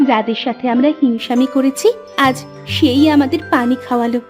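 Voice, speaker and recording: Woman voicing a gentle, regretful elder sister. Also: high-pitched, steady tone, normal speed, over music, voice pushed down, close to an everyday voice.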